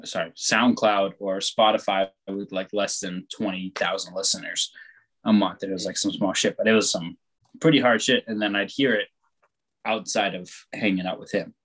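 Laid-back young man with a low voice. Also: steady tone, moderate pace, breathy edge, poor audio. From born on the West Coast, raised on the East Coast of the US. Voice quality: loud voice. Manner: rambling, laid-back, swears freely. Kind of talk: casual chat.

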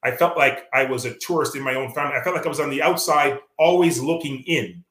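A man's voice: mid-range voice, fast, a bit bright, echoey room, slight background noise. A Canadian man with a Canadian accent, an author speaking earnestly in an interview, with many fillers and restarts. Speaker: author guest. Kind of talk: reflective interview talk.

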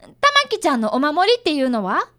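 High, animated young schoolboy detective played by a woman. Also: fairly quick, exaggerated voice. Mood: kindly, questioning. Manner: polite, earnest. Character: earnest, polite fifth-grade boy, apprentice detective and narrator. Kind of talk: radio drama acting and narration.

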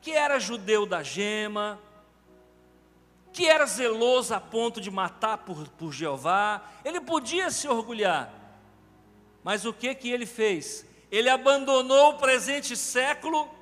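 A Brazilian pastor with a high voice that swings widely as he preaches, pressing his points with animated emphasis. His voice carries a long reverb through a large church sanctuary. Faint music hums underneath. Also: measured pace. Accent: Brazilian Portuguese. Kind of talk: sermon.

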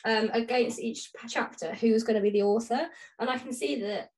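A woman's voice: fairly high pitch, a little fast. A woman co-hosting a podcast, talking through books casually and informally in chatty, rambling explanations. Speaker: podcast co-host. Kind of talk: casual book discussion chat.

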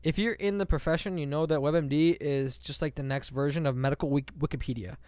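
A man's voice: on the high side, fairly even intonation, a little fast, darkish tone, no room sound. A man from Iran, whose first language is Farsi, co-hosting a casual podcast and rambling with lots of fillers and swearing. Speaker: podcast co-host.

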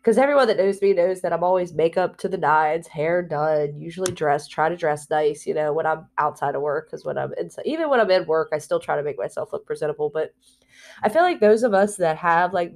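Low-voiced woman in a heartfelt, rambling vlog. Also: fairly quick. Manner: rambling and heartfelt, with frequent fillers and restarts; confessional, soft laughs between phrases. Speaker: spiritual vlogger. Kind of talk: candid personal vlog talk.

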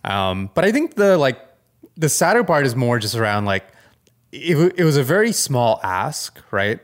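A man's voice: medium pitch, very animated, normal speed. A man co-hosting a podcast, giving casual commentary in a tentative way and often checking in with "right?". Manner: tentative, thinking aloud, often ends with "right?". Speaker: podcast co-host.

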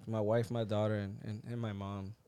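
A man from Puerto Rico chatting casually, telling stories in slang and acting out the dialogue in an animated way. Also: lowish voice, normal speed, smooth. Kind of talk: casual chat, anecdotes.

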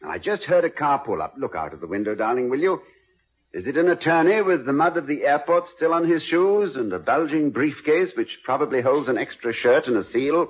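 An actor playing a genial, scholarly college president and playfully wordy husband in 1950s radio comedy style, courteous, wry and musing. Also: male voice, medium pitch, lively intonation, a little fast, breathy edge. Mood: musing, teasing. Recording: dull vintage radio recording.

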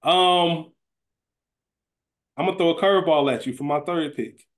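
A man's voice: fairly high pitch, normal speed. A man co-hosting a podcast, chatting casually in colloquial, slangy language. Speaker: podcast co-host. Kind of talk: casual chat.

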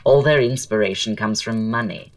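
An actor playing a witty, flamboyant Victorian writer and aesthete in an audio drama, speaking in a florid, languid, theatrical way. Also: male voice, lowish voice, normal speed, distant mic, lightly acted. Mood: wistful, opinionated.

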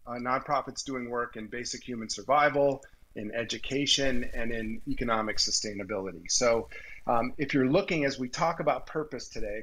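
A man hosting a live audio event, introducing and interviewing a guest in measured, stop-and-start phrases. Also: mid-range voice, fairly bright tone, poor audio.

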